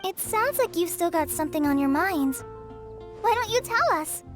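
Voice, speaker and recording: A woman's voice: very high voice, animated, normal speed. An actress playing a sweet, innocent little girl in a fantasy game, childlike and eager, with music behind her. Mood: helpful. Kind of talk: animated game voice acting. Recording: prominent music under the voice.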